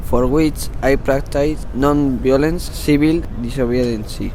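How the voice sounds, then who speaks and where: A man's voice: medium pitch, steady tone, measured pace, mellow, rough recording. A young man recites a scripted history text in a mid-range voice. Faint city traffic can be heard around him outdoors. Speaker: student presenting a history project. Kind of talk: scripted first-person recitation.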